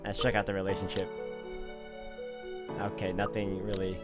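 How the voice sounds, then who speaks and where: A man's voice: sits low, completely flat delivery, normal speed, faint music behind. A man playing through a visual novel, reading its narration aloud dramatically and adding casual asides. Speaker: game walkthrough player.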